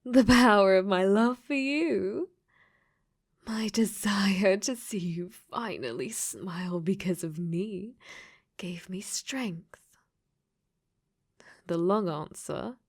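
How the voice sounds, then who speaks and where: A woman's voice: medium pitch, animated, slow, pauses often. A woman playing a clingy, possessive dating sim AI that has become sentient, in an intimate ASMR roleplay that often slips into whispers. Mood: excited, smitten.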